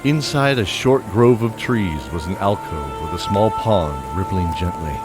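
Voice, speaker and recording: A man's voice: low-pitched, animated, a little slow, music in the background. A man reading scripted narration for a fantasy audio drama in a descriptive storytelling manner.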